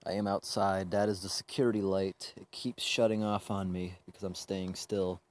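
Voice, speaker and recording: A man's voice: deep voice, level tone, moderate pace. A man casually demonstrating a product in a plain, informal, explaining way. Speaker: gadget reviewer. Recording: noisy handheld amateur recording.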